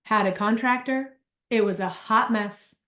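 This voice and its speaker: Animated chatty woman in an echoey room. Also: fairly high pitch, measured pace. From Argentina. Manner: animated, chatty, storytelling with asides. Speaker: business coach. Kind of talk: live workshop talk.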